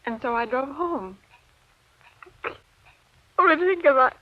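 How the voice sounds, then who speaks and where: An actress playing a genteel, coolly calculating widow who feigns grief, with poised, precise diction in an old radio drama. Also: female voice, high-pitched, expressive, slow, no echo. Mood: feigned distress. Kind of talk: 1940s-50s radio drama acting, first-person narration. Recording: dull vintage radio recording with music.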